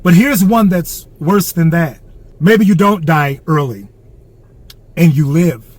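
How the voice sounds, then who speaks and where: A man's voice: on the high side, animated, slow, a little mellow, no room sound. An older man giving a blunt motivational talk to young men, repeating his points and swearing for emphasis. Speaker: motivational speaker in a played clip.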